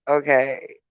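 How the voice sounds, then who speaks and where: A woman's voice: low-pitched, clear, resonant tone, no room sound, muffled recording. A woman hosting a casual live stream, reading viewer comments aloud, laughing often and speaking fondly to her viewers. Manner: giggly, laughs often between remarks; affectionate toward viewers.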